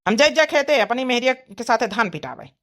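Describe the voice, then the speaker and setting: A woman's voice: on the high side, sing-song, a little fast, fairly bright tone. A woman voicing an indignant grown village son in a comedy cartoon, scolding and arguing in an Awadhi accent. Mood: angry. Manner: scolding, argumentative. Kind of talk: comedy cartoon family quarrel.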